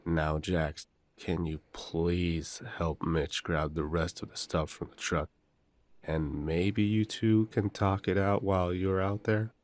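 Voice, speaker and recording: Deep-voiced man acting as a protective older werewolf brother. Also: fairly even intonation, slow, no room sound. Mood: firm, scolding. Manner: gently authoritative, polite, scolding his brothers. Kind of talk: roleplay audio drama acting.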